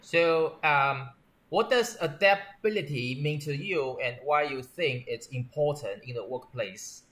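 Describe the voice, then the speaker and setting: Higher-voiced man asking halting questions. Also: fairly bright tone. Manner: halting, restarts and rephrases questions. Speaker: interviewer. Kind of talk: structured interview questions.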